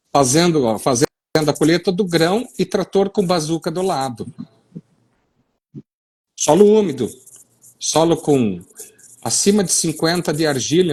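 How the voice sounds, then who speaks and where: A middle-aged man from Brazil's south talks with a gaúcho-tinged accent, his voice a touch high and husky. He explains at length, circling back with fillers and restarts, heard over a video call. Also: darkish tone. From western Paraná, Brazil. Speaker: soil science consultant on a live stream. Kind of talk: explanatory live-stream talk.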